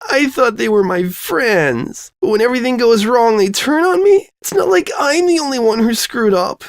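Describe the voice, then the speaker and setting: Man putting on a high, distraught teenage girl. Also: expressive, moderate pace, dry recording, studio quality. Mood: wailing, tearful. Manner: stammering, wailing. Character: distraught, self-pitying teenage girl, jittery and needy.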